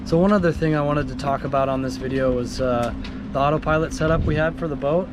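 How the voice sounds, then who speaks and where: A man with a hoarse voice from a cold, casually explaining his boat's gear step by step with many pauses and ums. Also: a little high. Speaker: sailboat owner vlogger. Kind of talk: casual show-and-tell vlog. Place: outdoors on a sailboat in a marina, windy. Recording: windy outdoor camera audio. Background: wind and harbor noise.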